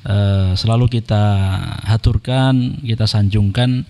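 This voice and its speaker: A man, speaking as a podcast guest in a polite, measured way, with frequent "eh" and "ya" fillers. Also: fairly low pitch, steady tone, a little mellow, long reverb tail. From Indonesia. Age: 30s. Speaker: podcast guest, Quran reciter. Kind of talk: casual podcast conversation.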